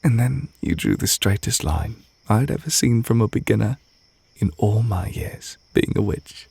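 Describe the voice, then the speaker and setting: Guy playing a gentle witch boyfriend for asmr, deep breathy voice with some fry, really soft and intimate. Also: expressive, measured pace, no room sound, exaggerated voice. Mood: wistful, tender. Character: tender, reserved male witch teacher confessing love to partner. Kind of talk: ASMR romantic audio roleplay. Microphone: close microphone.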